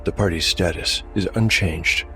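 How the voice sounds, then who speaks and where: A man's voice: deep voice, normal speed, pushed and bright. A man narrating a solo tabletop fantasy game as game master, in a dramatic storytelling style. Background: prominent music.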